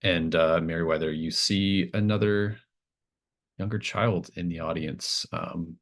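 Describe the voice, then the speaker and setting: A man working as game master, improvising narration casually, thinking aloud in a halting, encouraging way. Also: sits low, fairly even intonation.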